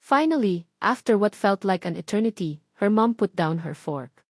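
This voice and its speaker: A woman's voice: medium pitch, expressive, normal speed, no echo. A woman with a Filipino accent narrating a scripted dramatic story in an emotive storytelling manner.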